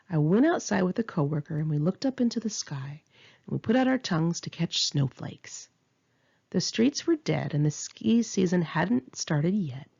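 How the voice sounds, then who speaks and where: Female narrator for candid memoir, animated delivery with some vocal fry, slightly dull lo-fi sound. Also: fairly low pitch. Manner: candid, informal, wry. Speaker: author reading own memoir aloud. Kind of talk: conversational memoir narration, read in one take.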